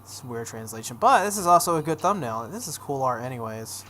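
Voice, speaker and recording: A woman's voice: very deep voice, lively intonation, brisk, fairly bright tone. Woman reading a game aloud, faint noise. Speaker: video game playthrough host. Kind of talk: reading visual novel aloud with casual commentary. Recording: some background noise.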